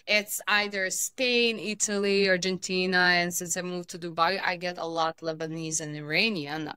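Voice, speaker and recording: 30s woman from bosnia chatting as a guest, pretty low voice, dry close sound. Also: normal speed, smooth, no echo. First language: Serbian. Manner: animated, expressive storytelling. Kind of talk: casual interview chat.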